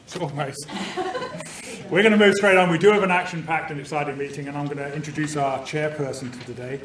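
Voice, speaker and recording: A man's voice: a little high, steady tone, quick pace, a crowd in the background. Cheerful guy running a club meeting, joking with the room, distant mic, lots of echo. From new Canadian citizen. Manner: cheerful, bantering, self-deprecating. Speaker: meeting host opening a club meeting. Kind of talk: jokey public-speaking address to a club audience. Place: meeting room with a live audience. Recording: echoey room, far-off mic, noisy.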